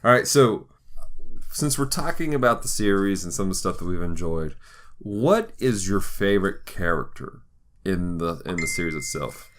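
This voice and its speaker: Animated man hosting a casual podcast. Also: deep voice, a little hiss or hum. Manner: enthusiastic, halting as he searches for words. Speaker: podcast host. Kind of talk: casual chat.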